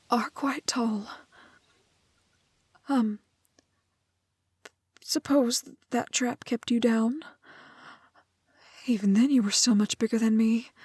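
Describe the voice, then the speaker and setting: Woman acting a breathy, high-voiced storybook village maiden. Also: darkish tone, no echo, clean studio sound, exaggerated voice. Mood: curious, awed. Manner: old-fashioned polite phrasing, halting, breathy hesitations. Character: gentle, curious young village maiden, polite but plucky. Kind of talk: romantic fantasy audio roleplay spoken to the listener.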